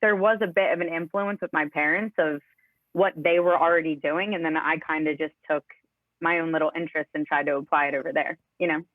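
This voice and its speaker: A woman's voice: low voice, steady tone, brisk, no echo. A woman co-hosting a casual chat, heard over a dull, lo-fi connection. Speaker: co-host.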